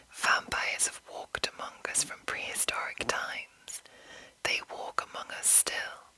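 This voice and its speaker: A woman whispering in a soft, breathy, unvoiced voice as an ASMR host, rambling gently and reading passages aloud. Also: low-quality recording. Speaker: ASMR host introducing a roleplaying game.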